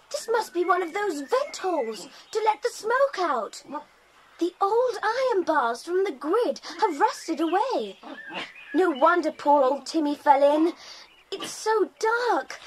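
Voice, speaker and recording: Plucky English tomboy girl, very high, lively, unhurried; children's audio drama. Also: heavily acted, low-quality recording. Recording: hissy 1990s cassette tape.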